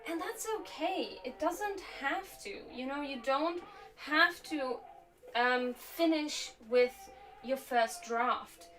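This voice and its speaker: Relaxed higher-voiced woman, chatting. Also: slow. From Slovakia, raised in Germany. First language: Slovak. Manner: relaxed, reflective, chatty with frequent fillers. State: slightly sore throat. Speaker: live stream host. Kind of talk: casual chat while crafting. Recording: distant mic with faint music.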